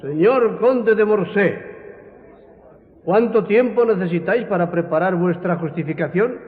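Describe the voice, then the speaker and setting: A man's voice: sits high, a little slow. A man playing a formal presiding officer of a chamber, with projected delivery in a radio drama. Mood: solemn. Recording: echoey hall, thin narrow-band sound.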